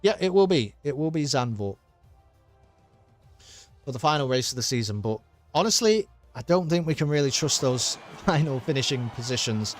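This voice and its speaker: A man calling a sim race finish at a middling pitch, excited and full of filler words. His voice is fraying from a long night of commentary, and faint music plays under him. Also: moderate pace. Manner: excited, rambling, with many uh fillers. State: losing his voice. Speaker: sim racing commentator and streamer. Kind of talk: live race commentary and stream sign-off.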